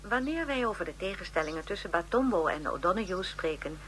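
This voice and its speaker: Woman acting a formal lecturer lady in a radio drama, animated, slight background noise. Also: mid-range voice, brisk, lightly acted. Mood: earnest, didactic. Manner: formal, academic. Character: formal female lecturer on political history.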